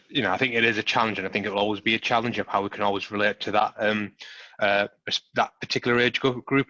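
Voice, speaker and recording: A man's voice: on the low side, pushed and bright, no room sound, dull audio. A man with a Middlesbrough accent hosting a casual chat on a video call, talking earnestly and leaning on lots of filler phrases. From Middlesbrough, England. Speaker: host.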